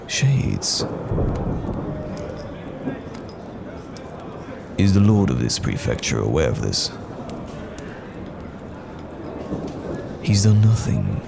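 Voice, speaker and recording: A man playing a courteous, earnest wandering knight in fantasy roleplay audio, speaking in a measured, formal, old-fashioned way with a gravelly voice. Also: heavy background noise, exaggerated voice. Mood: concerned, indignant. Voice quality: gravelly, very deep. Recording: music behind the voice.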